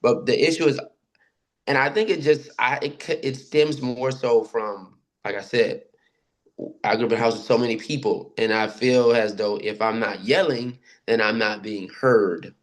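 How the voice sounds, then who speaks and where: A man with a deep voice talks casually about his own experiences in a discussion, halting and circling back over his phrases. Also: fairly even intonation. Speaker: participant sharing personal experiences. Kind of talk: casual personal storytelling in a group discussion.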